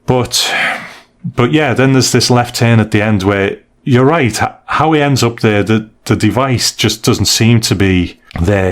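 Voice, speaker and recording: A British man in a casual podcast chat, giving candid, conversational reviews of stories as a co-host. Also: lowish voice. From United Kingdom.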